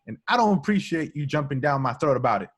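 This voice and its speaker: Cocky young recruit, played by a man, lively and husky. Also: fairly high pitch, quick pace. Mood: defiant, explaining. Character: cocky, street-smart young police recruit, defiant, wisecracking. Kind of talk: amateur film script table read. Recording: home recording, remote reading.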